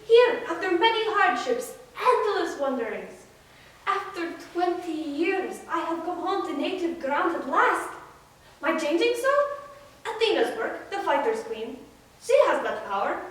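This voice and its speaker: A woman's voice: extremely high pitch, a bit bright, voice pushed up, exaggerated voice. A woman playing a proud, weary hero father in a solemn, emphatic stage reading of epic poetry. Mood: proud, reassuring. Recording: distant, very echoey room.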